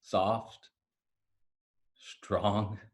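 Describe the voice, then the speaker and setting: A man acts out a fallen angel-like fairy for a staged drama. The delivery is very unhurried and lyrical, tender and wistful, with deliberate weight on each phrase. It is recorded over a video call. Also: lowish voice, flat delivery. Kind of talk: staged play reading, dramatic monologue.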